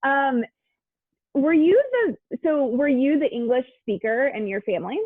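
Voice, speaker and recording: A woman hosting an interview over a video call, enthusiastic and quick to laugh. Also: high voice, expressive, no echo. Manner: warm, giggly, enthusiastic. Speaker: interview host. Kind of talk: conversational interview. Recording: dry tinny call audio.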